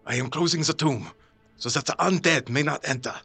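A man's voice: fairly high pitch, fairly quick, vocal fry, a bit bright, breathy edge, heavily acted. A man playing a grave, insistent older professor and vampire hunter in an audio drama, speaking solemnly and emphatically in formal, stilted phrases.

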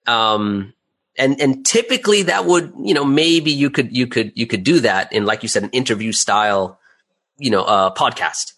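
A man's voice: medium pitch, expressive, moderate pace, fairly bright tone. A man speaking casually as an interview guest, explaining things step by step and checking in with the listener as he goes. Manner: conversational, step-by-step explaining with rhetorical "right?" check-ins. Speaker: interview guest, audio drama creator and voice actor.

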